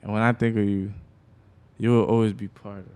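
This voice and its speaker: A man's voice: fairly low pitch, little pitch movement, fairly quick, darkish tone, clear, resonant tone. A man from Haiti who moved to Miami, chatting casually as an interview guest, playful and slangy with frequent swearing. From born in Haiti, later moved to Miami. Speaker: interview guest, music artist. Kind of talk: casual chat.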